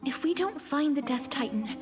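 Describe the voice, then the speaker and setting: A gentle, earnest demigoddess from an anime game, pitched fairly high. Her speech is formal, solemn and slightly hesitant, over clearly audible music. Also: expressive, moderate pace. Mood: solemn, guarded. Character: earnest, solemn, gentle long-lived young-sounding woman demigod. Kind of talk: anime-style video game acting.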